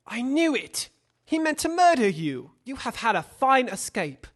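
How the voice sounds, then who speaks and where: A man acting a cautious, concerned gentleman lawyer in an audio drama, formal and questioning. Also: extremely high pitch, sing-song, unhurried, heavily acted. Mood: alarmed.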